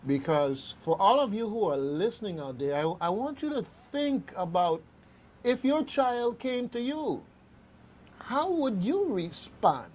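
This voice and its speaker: A man with a fairly high voice hosts an unscripted radio discussion. He talks conversationally, halting and repeating phrases, in a studio with faint background noise. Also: big pitch swings. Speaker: radio host. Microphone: studio microphone.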